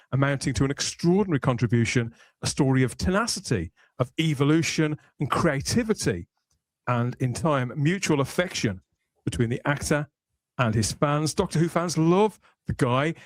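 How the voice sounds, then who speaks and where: A man's voice: mid-range voice, dry recording. A man hosting a podcast, moving between conversational talk and polished scripted narration with wordy, theatrical phrasing. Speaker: podcast host.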